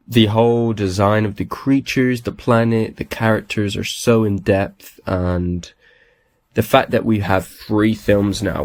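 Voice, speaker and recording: A man hosting a solo podcast, raspy from a cold, talking enthusiastically and rambling with lots of restarts. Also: on the low side. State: has a cold. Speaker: podcast host. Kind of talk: casual solo podcast talk.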